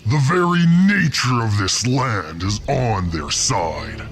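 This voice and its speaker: A man's voice: lowish voice, big pitch swings, slow pace, fairly bright tone, soft background music, exaggerated voice. A man playing a dutiful, cautious orc warlock lieutenant in a fantasy game, speaking formally and with deference. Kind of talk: dramatic fantasy game cutscene acting.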